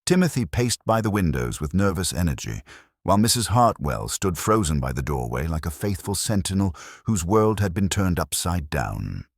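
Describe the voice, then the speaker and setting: A man reading a scripted mystery story as narrator, in a measured, even-paced way. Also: low voice, husky, no echo, clean studio sound.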